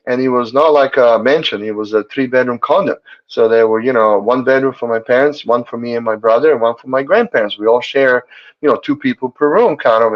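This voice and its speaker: Man from Serbia, earnest, rambling, full of fillers, muffled lo-fi sound. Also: medium pitch. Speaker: chiropractor guest. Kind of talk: casual talk show chat. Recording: muffled lo-fi audio.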